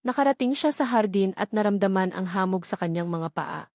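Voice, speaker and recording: A woman's voice: medium pitch, expressive, a little fast, a little mellow, no echo, clean studio sound. A woman with a Filipino accent reading a scripted love story as a dramatic narrator.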